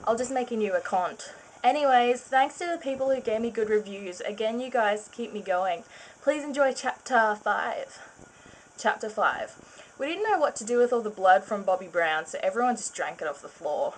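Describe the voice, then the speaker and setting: A woman's voice: on the high side, lively intonation, normal speed, slight background noise, poor audio. A woman giving a mock-dramatic reading of fan fiction, giggling and breaking off for sarcastic asides. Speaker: reader of her own fan fiction.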